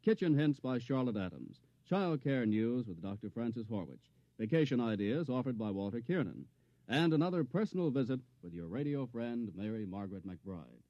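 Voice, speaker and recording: A man's voice: medium pitch, dry recording. An American man reading a scripted promo as a 1950s radio announcer. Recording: dull vintage radio broadcast recording.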